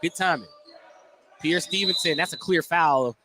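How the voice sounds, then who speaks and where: A man's voice: on the high side, moderate pace, sharp tone. A man calling live basketball play-by-play for radio, in clipped phrases with detailed descriptions of the action. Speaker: play-by-play announcer. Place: indoor basketball gym during a game. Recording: noisy gym ambience, bouncing ball. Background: gym noise and basketball bounces.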